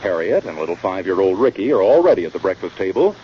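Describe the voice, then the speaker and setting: A man's voice: low voice, big pitch swings, fast. A man reading scripted introductions as an announcer in 1940s radio style. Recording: muffled old radio recording, faint hiss.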